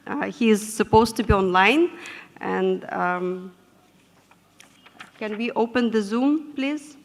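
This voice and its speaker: A woman moderating a conference panel in a measured, formal manner, often pausing with fillers. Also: medium pitch, creaky. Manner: measured, with frequent hesitations. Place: conference hall. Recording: echoey conference hall.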